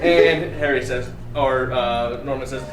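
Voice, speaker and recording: A man's voice: medium pitch, a little slow, slight background noise. A man narrating and riffing as a comedy performer at a live comics reading. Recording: echoey distant live room with crowd.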